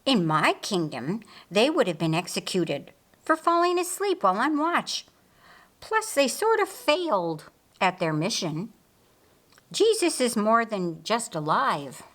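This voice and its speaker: An excitable, regal queen from an audiobook story, as a woman narrator plays her. She is inquisitive and animated, with a bright swoop in her fairly high pitch. Her words come without hurry. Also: unhurried. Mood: wondering. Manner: animated, exclaiming and wondering. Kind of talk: audiobook character dialogue.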